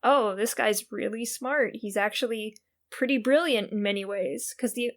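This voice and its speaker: A woman's voice: fairly high pitch, moderate pace. Playful woman co-hosting a gaming podcast. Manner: informal, playful, conversational. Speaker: podcast co-host. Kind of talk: casual video game lore discussion.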